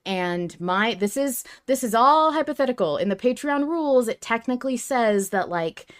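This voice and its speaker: A woman's voice: fairly high pitch, expressive, normal speed. A woman hosting a casual fan discussion podcast, talking in a chatty, opinionated way. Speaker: podcast host.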